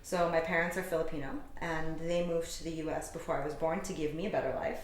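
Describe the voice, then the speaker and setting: A woman's voice: deep voice, fairly even intonation, quick pace, a little hiss or hum. A young adult woman from the United States, a guest chatting with enthusiasm in a casual interview. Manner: upbeat, enthusiastic. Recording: echoey room.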